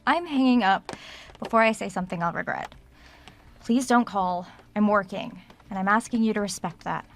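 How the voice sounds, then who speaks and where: A woman's voice: mid-range voice, normal speed, gravelly, slight background noise, natural voice. An actress in an audio drama playing a stubborn, defensive woman novelist around thirty, with a clipped manner. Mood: irritated. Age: young adult.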